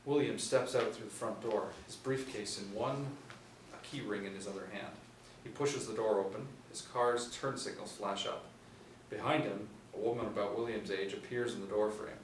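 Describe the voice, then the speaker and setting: A man in his forties reads script narration in a low voice. The recording is roomy and a little distant. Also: fairly even intonation, normal speed. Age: 40s. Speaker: narrator of stage directions. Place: small room indoors. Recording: roomy, distant mic, faint noise.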